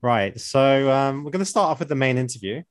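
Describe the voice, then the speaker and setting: A man's voice: medium pitch, expressive, a little fast, no echo, clean studio sound. A man co-hosting a podcast, interviewing a guest. He asks questions in a friendly way, often adding "like" and "right?". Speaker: podcast co-host. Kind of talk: hosted interview.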